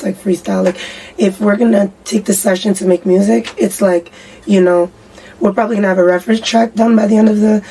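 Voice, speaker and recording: A young woman with a raspy, mellow voice talks candidly through an interview, slangy and full of fillers. She sits a little back from the microphone, and faint noise hums underneath. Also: mid-range voice. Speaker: musician guest. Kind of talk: casual interview chat. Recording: distant mic with faint background noise.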